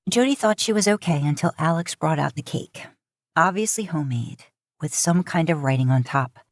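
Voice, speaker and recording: A woman's voice: sits low, expressive, moderate pace, no echo, clean studio sound. A woman reading a story as a scripted narration. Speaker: podfic narrator. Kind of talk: scripted narration of fan fiction.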